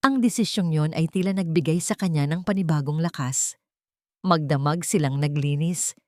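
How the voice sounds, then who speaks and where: Low-pitched woman narrating a dramatic story. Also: normal speed, no echo, clean studio sound.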